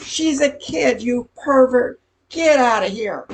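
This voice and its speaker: Woman as slow, gravelly, sassy diner waitress. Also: on the high side, lively intonation, slight background noise. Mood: brash, indignant. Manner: tough-talking wisecracks with slangy grammar. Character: brash, touchy diner waitress, sassy and easily offended. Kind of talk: radio comedy play acting.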